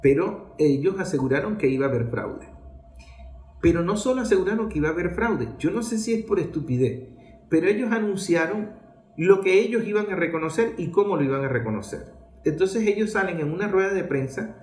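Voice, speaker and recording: A man's voice: sits high, darkish tone. A man with a Venezuelan accent giving political commentary in an emphatic, rhetorical way, posing questions and answering them. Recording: roomy, echoing sound.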